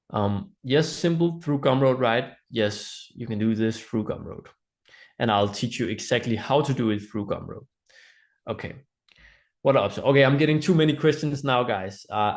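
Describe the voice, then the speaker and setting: Danish-accented man teaching an online training. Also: mid-range voice. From Denmark. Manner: informal, explanatory, checks in with listeners. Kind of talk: informal live online training presentation.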